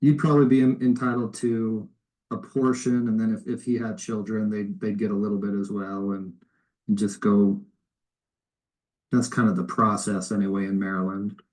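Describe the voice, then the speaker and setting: A man on an informal phone call, explaining things politely and tentatively with a soft sell. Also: fairly low pitch, little pitch movement, normal speed. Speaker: cold caller offering help claiming surplus funds. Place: recorded phone call played back in a lesson.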